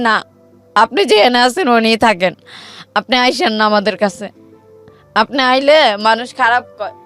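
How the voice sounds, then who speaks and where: A woman with a high voice and a Bangladeshi accent shares her personal story. She speaks in plain colloquial words, acting out past conversations with feeling. Faint music plays behind her. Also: fairly bright tone. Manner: plain colloquial speech, reenacting quoted exchanges. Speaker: guest telling her life story.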